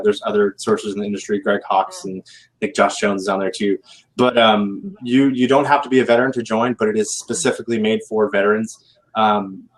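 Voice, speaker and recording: A man's voice: on the low side, low-quality recording. A man talking casually as a guest on a recruiting panel, rambling and correcting himself as he goes. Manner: rambling, self-correcting, laid-back.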